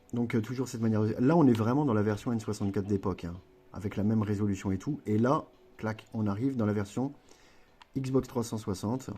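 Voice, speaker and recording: A man's voice: on the low side, mellow. A man chatting casually through a gameplay tutorial, rambling with frequent fillers and self-corrections. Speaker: gaming video creator.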